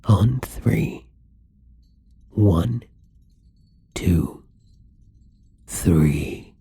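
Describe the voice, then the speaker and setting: A man playing a newly turned, gentle and hesitant vampire in an intimate ASMR roleplay, speaking softly and haltingly with frequent whispers. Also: deep voice, lively intonation, a little hiss or hum, exaggerated voice. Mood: tender, reassuring. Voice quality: breathy, husky. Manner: soft, halting, often dropping into whispers.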